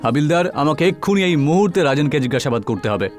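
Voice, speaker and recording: A man's voice: fairly high pitch, animated, fast, soft background music, lightly acted. A man playing a determined, serious police inspector in a cartoon horror story, speaking in a commanding, insistent way. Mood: commanding, resolute.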